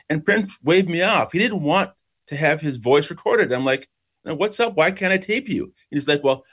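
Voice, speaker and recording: A man's voice: mid-range voice, fairly bright tone. Middle-aged man, Jamaican-born author, thoughtful storyteller, mid-range voice. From Jamaica, raised in New York state. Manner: reflective, measured, slows for emphasis. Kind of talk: interview answers, storytelling.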